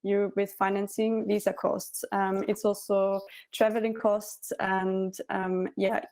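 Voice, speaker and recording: Give me a woman speaking in a level, even tone, hesitant and friendly. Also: medium pitch, slow pace. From Austria. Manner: friendly, hesitant, many ums. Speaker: cultural forum music officer. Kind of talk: conference introduction.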